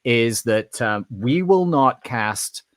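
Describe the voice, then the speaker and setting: A man's voice: medium pitch, slow pace, dry recording, studio quality. A man co-hosting a podcast in casual chat, telling stories animatedly, with frequent restarts. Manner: animated storyteller, acts out quoted voices. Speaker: podcast co-host.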